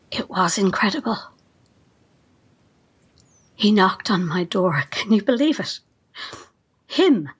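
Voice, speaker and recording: An actress playing a fanatical cult assistant in an audio drama, measured, calmly menacing and reverent, with a breathy, husky voice. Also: female voice, mid-range voice, full character voice. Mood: rapturous awe. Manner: measured, veiled threats, reverent.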